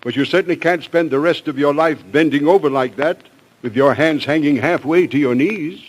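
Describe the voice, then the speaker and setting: A man's voice: on the high side, moderate pace, noisy background, lightly acted. An actor playing an affable, nosy upper-crust clubman with a hearty, genteel manner in an old-time radio drama. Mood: hearty, prying.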